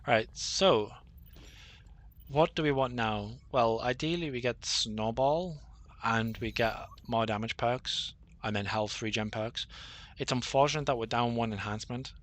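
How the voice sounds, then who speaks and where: A man giving rambling, self-deprecating commentary over his own gameplay, with many fillers and a flat, monotonous delivery. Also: mid-range voice, a little slow. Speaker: gaming channel host.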